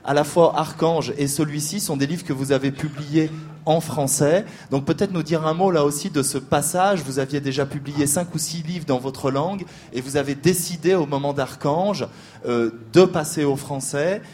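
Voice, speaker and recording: A man hosting a literary discussion, introducing guests and asking measured questions with frequent hesitations. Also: on the high side, darkish tone, slight background noise. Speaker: host.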